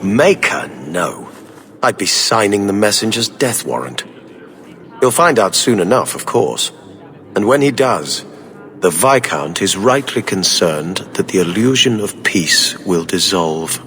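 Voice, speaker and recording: An actor playing a stiff, disdainful government official in a video game, speaking formally and precisely. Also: male voice, low-pitched, very animated, unhurried, a bit bright, big-room sound, exaggerated voice. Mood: guarded, disdainful.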